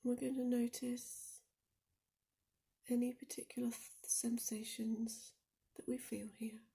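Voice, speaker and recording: A woman leading a guided meditation in a soft, soothing, often near-whispered voice, speaking deliberately with gentle pauses. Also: on the high side, level tone, vocal fry. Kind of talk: guided body scan meditation.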